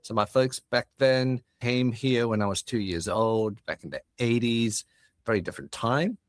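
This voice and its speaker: A man's voice: medium pitch, moderate pace, dry recording, studio quality. A man in his forties from Hong Kong with a mixed Australian, British and Cantonese accent, chatting expansively as a podcast guest. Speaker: guest, business founder. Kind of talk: casual interview chat.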